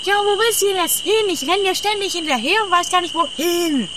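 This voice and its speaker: A woman's voice: very high-pitched, a little fast. A woman playing a naive, nagging, whiny companion in a comedy radio play, full of questions and complaints. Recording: clear outdoor night noise, insects and owls.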